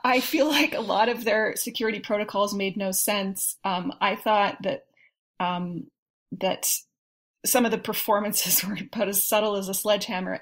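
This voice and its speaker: Woman panelist chatting casually, well spoken and opinionated, mid pitch. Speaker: podcast guest panelist. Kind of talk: casual film discussion.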